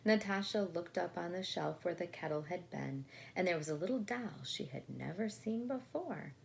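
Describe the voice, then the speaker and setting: Female storybook reader for children, lively intonation, creaky voice, explanatory, faint noise behind. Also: lowish voice, normal speed. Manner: expressive, explanatory. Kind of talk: read-aloud for children with casual asides.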